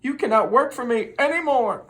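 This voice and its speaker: A stern male boss from a children's storybook, put on by a reader. He lays down the rules in a firm, commanding way, with pitch pushed a little high. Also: animated, normal speed, fairly bright tone. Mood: angry. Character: stern male boss giving orders to a horse.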